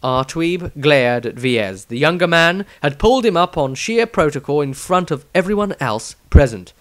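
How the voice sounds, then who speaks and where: A man reading his own fantasy story aloud in measured, even narration. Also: fairly high pitch, dry recording.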